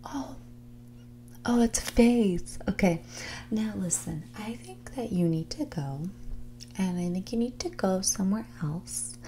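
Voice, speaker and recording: A woman playing a mean, snobby rich young woman in a whispered and soft-spoken ASMR roleplay, talking in a breathy, condescending, bratty way. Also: mid-range voice, expressive, slight background noise, natural voice. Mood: dismissive. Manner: condescending, bratty, dismissive, drawn-out casual phrasing. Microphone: close ASMR microphone.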